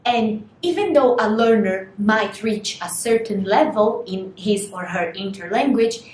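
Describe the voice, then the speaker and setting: A young woman whose first language is Portuguese gives a recorded university lecture, explaining slowly and putting rhetorical questions to students. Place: small studio room in front of a screen. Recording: echoey room, faint rumble.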